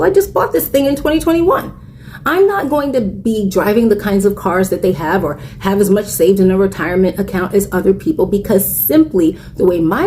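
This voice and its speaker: A woman's voice: mid-range voice, a little mellow, a little hiss or hum. A woman in her forties, a content creator, talking candidly and earnestly to camera about her own life. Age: 40s. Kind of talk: personal reflective talk to camera.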